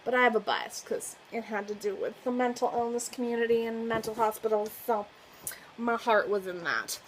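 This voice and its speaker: A woman's voice: fairly high pitch, fairly even intonation, normal speed, low-quality recording. Girl booktuber gushing about books, laughs a lot, bit of faint background hiss. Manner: gushing, effusive, laughs often. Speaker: book vlogger. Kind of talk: casual chat to camera.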